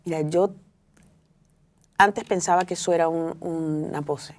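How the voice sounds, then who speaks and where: A woman's voice: sits low, fairly even intonation, a little slow, darkish tone. A middle-aged woman, speaking reflectively as a guest in an interview, often restarting her sentences. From Venezuela. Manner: reflective, frequent restarts and fillers. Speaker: author and guest. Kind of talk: interview conversation.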